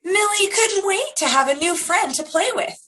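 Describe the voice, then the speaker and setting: A woman hosting a children's storytime, speaking like a teacher in an animated, simple way with drawn-out emphasis. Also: very high-pitched.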